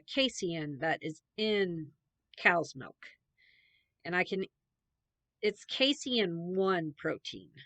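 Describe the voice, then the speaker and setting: A woman's voice: mid-range voice, a bit bright, no room sound. A young adult woman, a little congested, chatting in a rambling, meandering way with many fillers as a podcast host. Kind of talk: rambling casual chat.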